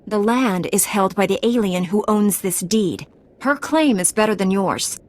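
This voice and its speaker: Heroic female knight, voiced by an actress: composed, measured, mid-range voice for a video game. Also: medium pitch, animated, lightly acted. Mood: resolute. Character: calm, resolute heroic female Jedi knight.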